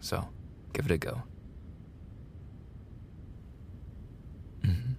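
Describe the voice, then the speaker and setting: Soft, low, gravelly male college tutor, acted by a man. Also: a little hiss or hum, exaggerated voice. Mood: encouraging.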